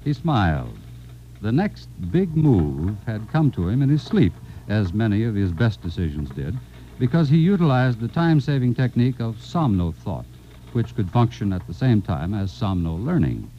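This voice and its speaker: An actor as the wry, theatrical narrator of a 1950s science fiction radio drama. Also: male voice, lowish voice, sing-song, moderate pace, a little hiss or hum. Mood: dramatic, measured. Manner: emphatic, theatrical storytelling. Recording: dull vintage radio broadcast recording. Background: music.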